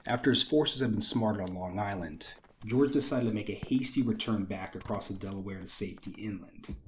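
A young man with a slightly raspy, hoarse voice, hosting a history channel and narrating from a script with wry conversational asides. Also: fairly low pitch, darkish tone, slight background noise. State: recovering from illness, slightly hoarse.

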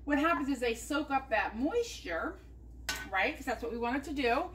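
Chatty home-cook vlogger, woman with a high voice, walking viewers step by step through a recipe in her kitchen, faint hiss behind her. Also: animated, moderate pace, a bit bright. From United States. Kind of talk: casual cooking demonstration. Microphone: camera mounted above the counter.

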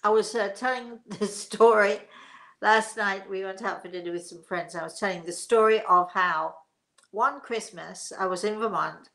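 Woman, middle-aged, English accent, medium pitch, casual rambling storyteller, live session host. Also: moderate pace, fairly bright tone. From England. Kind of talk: casual chat and personal anecdotes. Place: living room, indoors.

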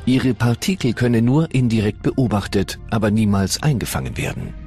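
A man narrating a science documentary in a measured, solemn way over music. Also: lowish voice, sing-song, breathy edge. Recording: voice-over with loud music behind.

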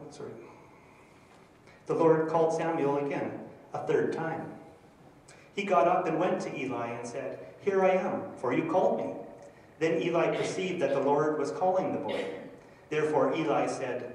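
Middle-aged Canadian minister, hesitant, with handheld mic, in a reverberant church hall. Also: male voice, fairly high pitch, darkish tone. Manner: hesitant, with many fillers. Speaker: minister presenting a graduate project. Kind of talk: church presentation with scripture reading. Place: church sanctuary before a projector screen. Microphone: handheld microphone. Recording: echoey church from a far camera.

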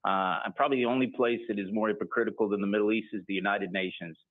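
Middle-aged American male guest, deliberate arguer, tinny phone-like audio. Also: on the low side, fairly even intonation. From United States. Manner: deliberate, argumentative, rhetorical questions. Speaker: political guest. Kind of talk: measured political argument in interview. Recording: tinny broadcast audio re-recorded.

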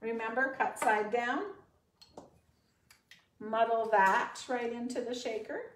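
A woman's voice: on the high side, fairly even intonation, takes it slowly, a little hiss or hum. A woman in a home kitchen, chatting upbeat to followers as she demonstrates cooking, with frequent ums. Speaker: cooking show host and sales consultant. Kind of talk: casual demo talk to followers. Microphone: far camera. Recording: echoey kitchen, mic at a distance.

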